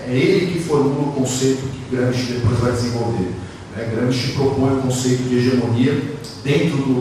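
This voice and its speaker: A Brazilian man giving an academic lecture at a conference panel in a discursive manner, often adding short tag questions. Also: mid-range voice. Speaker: academic speaker. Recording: very echoey hall, distant mic, faint noise.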